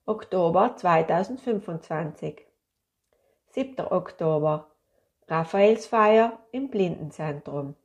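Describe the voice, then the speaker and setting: A woman reading a calendar of events aloud in a slow, measured way. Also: medium pitch. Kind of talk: scripted reading of announcements.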